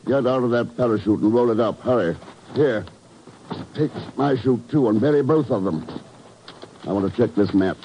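An actor playing a cool, dry-witted secret agent hero in a 1950s radio drama. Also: male voice, mid-range voice, normal speed, long pauses, close to an everyday voice. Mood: urgent, exasperated. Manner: dry, sardonic, unruffled. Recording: muffled, very noisy 1950s radio recording.

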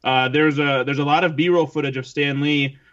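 A man's voice: a little high, steady tone, moderate pace, a bit bright, highs cut off. A man chatting casually as a podcast co-host and entertainment journalist, wry and self-deprecating. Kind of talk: casual chat.

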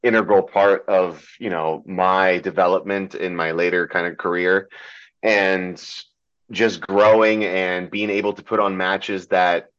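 A man who grew up in Germany talking about wrestling in a casual interview, halting and full of ums, often laughing. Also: deep voice, little pitch movement, fairly bright tone, clean tone. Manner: hesitant, many ums and restarts, laughs often. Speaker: pro wrestler guest. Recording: dull lo-fi sound.

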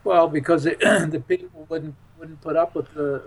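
An American man in his seventies with a fairly high-pitched voice. He talks as an interview guest, reminiscing in a loose, halting ramble. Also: steady tone, husky, rough recording. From Philadelphia, United States. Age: 70s. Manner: rambling, halting, chuckles while talking. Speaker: interview guest, UFO witness.

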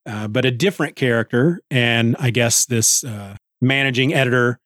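Middle-aged man, podcast host, mid-range pitch, casual rambling review style, conversational, dry recording. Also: normal speed, fairly bright tone, dry recording. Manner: rambling, conversational, thinking aloud. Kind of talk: casual solo book review chat.

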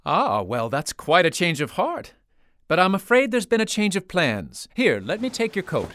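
An actor playing a hearty, hospitable gentleman friend in a radio drama, speaking genially. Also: sits high, wildly swooping pitch, moderate pace, exaggerated voice.

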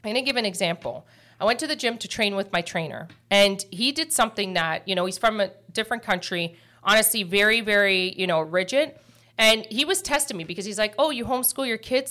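A middle-aged woman hosts a podcast in a relaxed, chatty way. Her voice sits at an ordinary middle pitch. She tells stories with lively energy and plenty of "you know" and "um". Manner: chatty, animated storytelling with frequent fillers. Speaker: mortgage podcast host. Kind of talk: casual chat.

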